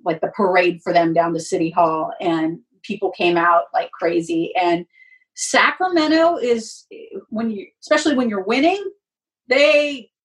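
A woman speaking candidly and emphatically as a guest in a casual interview; she works as a sports announcer. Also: mid-range voice. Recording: voice distant from the mic.

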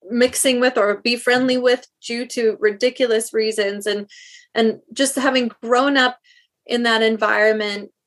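A woman's voice: on the high side, a bit bright. A woman from South Africa, a guest in an interview, talking earnestly about her work and stopping often to rephrase. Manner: earnest, thoughtful, with many restarts. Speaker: guest, speech language pathologist. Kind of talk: interview answers.

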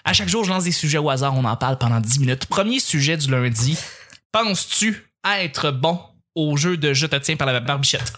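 A man from Montréal with a Quebec French accent, hosting a casual group chat and welcoming his guests with effusive warmth and frequent laughter. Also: fairly high pitch, expressive, brisk. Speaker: podcast host.

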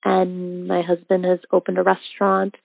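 A woman in her thirties tells her love story in a low, flat voice with a heavy gravelly fry. She speaks reflectively with frequent ums and pauses. The sound is dry and narrow, like a phone line. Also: dry recording, soft background music. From Indonesia. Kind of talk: casual personal storytelling. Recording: tinny phone-like audio.

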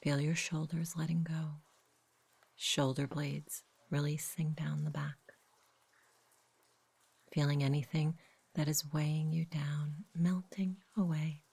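A woman narrates a guided sleep meditation. Her voice is low for a woman and breathy, often slipping into a whisper. She delivers each phrase softly and gently, taking her time. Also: little pitch movement. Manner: soft, gentle, unhurried.

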